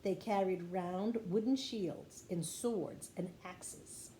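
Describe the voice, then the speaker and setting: A woman's voice: on the low side, slow pace. Woman reading a children's story, roomy echo. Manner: animated storytelling with acted dialogue. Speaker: teacher reading aloud to kindergarteners. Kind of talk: expressive children's chapter-book read-aloud. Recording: roomy echo with light background noise.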